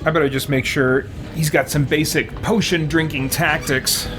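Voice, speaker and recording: Guy doing a casual lets play, thinking out loud, kinda folksy, loud game music behind him. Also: a little high, steady tone, normal speed. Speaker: gamer hosting a let's play. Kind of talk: casual gameplay commentary.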